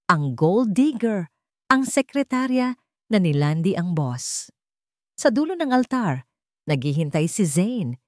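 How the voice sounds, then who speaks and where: A Filipino-accented woman reads a dramatic story as narrator. Her voice sits a little low, and she delivers the script in measured, short phrases. The sound is dry, with no room around it. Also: no room sound.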